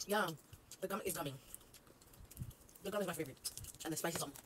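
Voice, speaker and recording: A woman's voice: lowish voice, fast talker. A young Jamaican woman with a Jamaican accent, chatting casually in her bedroom as she tastes snacks, chewing while she talks and teasingly repeating words. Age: young adult. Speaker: vlogger. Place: small bedroom indoors. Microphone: fixed front-facing camera. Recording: wrapper crinkling noise. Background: crinkling snack wrappers.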